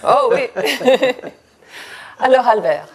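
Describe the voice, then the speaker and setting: A woman's voice: sits high, expressive, extremely slow, slightly rough. Chatty woman host. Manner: warm, enthusiastic and chatty. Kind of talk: community TV interview.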